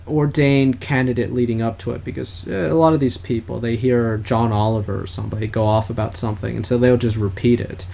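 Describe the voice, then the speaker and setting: A man co-hosting a casual political talk podcast, speaking in a halting way and often correcting himself. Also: mid-range voice, darkish tone. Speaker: podcast co-host. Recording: clear background noise.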